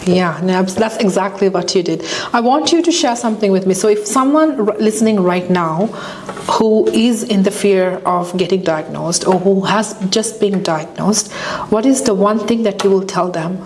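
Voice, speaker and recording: A woman's voice: medium pitch, moderate pace, a little mellow. A woman from Sri Lanka hosting a talk show interview, asking encouraging questions with frequent fillers and restarts. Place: Canada.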